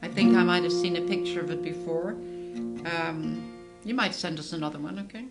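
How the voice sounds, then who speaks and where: A woman co-hosting an online singalong, briskly reading out viewer messages with friendly asides. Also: fairly low pitch, music in the background. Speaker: co-host reading viewer comments. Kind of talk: casual chat.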